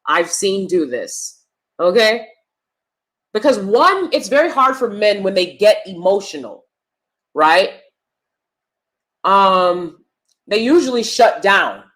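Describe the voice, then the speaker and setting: A woman vlogger delivers opinion commentary in a loud, projecting voice trained on stage. She punches her points with emphasis and drops to soft, confiding asides. Her pitch sits in an ordinary middle range. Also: expressive, slow pace, long pauses.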